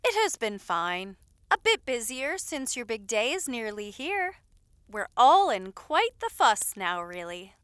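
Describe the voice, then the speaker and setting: A woman playing a caring, loyal lady's maid in an audio roleplay, attentive and gently scolding with polite familiarity. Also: a little high, very animated, a little slow, clean tone, no echo, studio quality. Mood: reassuring.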